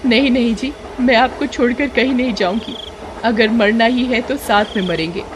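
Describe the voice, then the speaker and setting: A woman's voice: fairly high pitch, moderate pace, background noise, close to an everyday voice. A woman playing a devoted, earnest village wife in a cartoon horror story. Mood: determined. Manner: earnest, pleading. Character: devoted young village wife, pleading and resolute.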